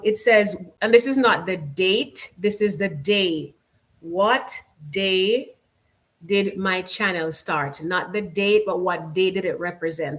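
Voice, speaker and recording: A woman's voice: mid-range voice, fairly bright tone. Chatty Jamaican woman hosting a livestream. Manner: chatty, upbeat, many fillers and restarts. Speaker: YouTube channel host. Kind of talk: casual livestream chat reading comments. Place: home.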